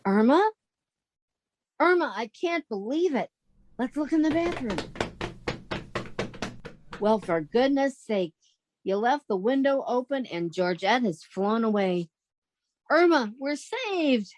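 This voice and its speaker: Woman as wry, higher-voiced radio-comedy roommate. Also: lively intonation, slow, pauses often, background noise. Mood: exasperated, relieved. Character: sensible, exasperated young woman roommate Jane, wry narrator. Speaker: actress in theatre company. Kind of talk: 1940s radio comedy acting and narration.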